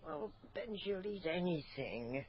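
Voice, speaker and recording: An actress playing an anxious, hesitant ordinary dog owner in a naturalistic radio drama, sounding flustered. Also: female voice, on the low side, expressive, a little slow, lo-fi sound, slight background noise. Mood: worried.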